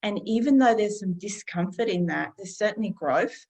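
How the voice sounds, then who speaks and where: A woman in her fifties, a podcast guest, speaking calmly and unhurriedly with many pauses. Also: mid-range voice. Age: 50s. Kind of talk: reflective conversational interview.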